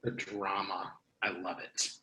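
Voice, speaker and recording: A man's voice: sits low, flat delivery, unhurried, bright, forceful tone, full character voice. A man playing a wary, exasperated sidekick in a comedy script read. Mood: shaken. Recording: roomy, echoing sound.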